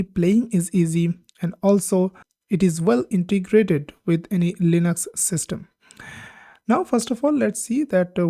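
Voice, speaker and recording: A woman hosting a tech channel, talking through a software demo on screen, with many "uh" pauses and simple phrasing. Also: lowish voice, no echo, studio quality. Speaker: tech tutorial host. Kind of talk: screen-recorded software walkthrough.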